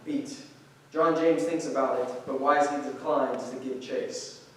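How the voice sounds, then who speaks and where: A man's voice: medium pitch, steady tone, measured pace, slight background noise. A man reading stage directions as scripted narration for a staged play reading. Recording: distant mic in very echoey room.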